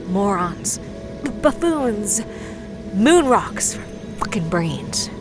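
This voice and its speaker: A woman's voice: medium pitch, very animated, slow pace, over music. A woman playing a haughty, hot-tempered princess in a sci-fi audio drama, with a scornful, cutting manner. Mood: contemptuous anger.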